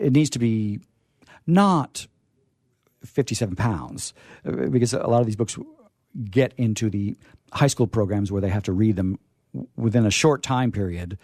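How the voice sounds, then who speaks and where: A man hosting a casual live radio chat, animated and playfully contrary, who often stammers and repeats words and phrases. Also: medium pitch, dry recording, clean studio sound. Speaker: radio show host.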